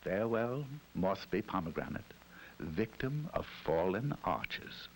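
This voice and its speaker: Wry lively man narrating an old film. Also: low voice, measured pace, creaky, slight background noise, poor audio. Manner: wry, mock-solemn storyteller. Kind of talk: scripted 1960s educational film narration. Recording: narration over loud music.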